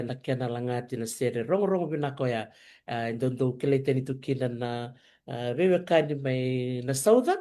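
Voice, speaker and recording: Host, soft hesitant delivery, mellow tone, middle pitch. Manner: soft-spoken, gentle questions with many hesitant fillers. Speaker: talk show host interviewing a student. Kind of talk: casual interview chat, switching between Fijian and English.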